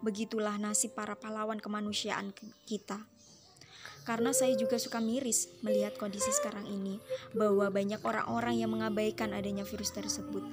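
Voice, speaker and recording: A woman's voice: medium pitch, level tone, a little fast. A young woman, a student narrating a scripted explainer and addressing viewers like friends. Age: young adult. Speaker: university student presenter. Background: loud music under the voice.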